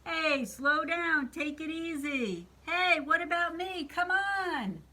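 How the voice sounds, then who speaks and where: A woman's voice: very high-pitched, animated, slow pace, sharp tone, slight background noise, pitched above the performer's own voice, full character voice. A woman voicing a cranky, scheming, bossy witch in a storybook read-aloud, impatient in manner. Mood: exasperated. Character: cranky, scheming female witch craving cookies.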